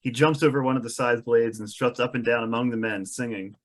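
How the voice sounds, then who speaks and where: A man's voice: mid-range voice, steady tone, a little fast. A man reading stage directions as narrator in a table read of a film script.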